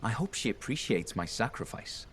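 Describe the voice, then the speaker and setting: An actor playing a prissy, self-important gentleman in a video game, haughty and pompous. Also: on the low side, big pitch swings, moderate pace, a little hiss or hum. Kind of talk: video game character dialogue.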